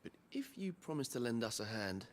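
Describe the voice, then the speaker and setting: A man's voice: medium pitch, sing-song, brisk, a crowd in the background, lightly acted. A man voicing a blunt caravan guard. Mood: persuasive. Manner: blunt, businesslike persuasion. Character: male caravan guard hiring a fighter, blunt and practical. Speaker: game character.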